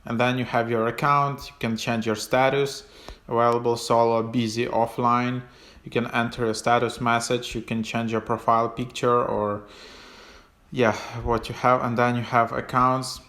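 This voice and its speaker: A man giving a casual, unscripted app walkthrough as a tutorial host, in a hesitant, halting way with trailing phrases. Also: mid-range voice, little pitch movement, fairly bright tone.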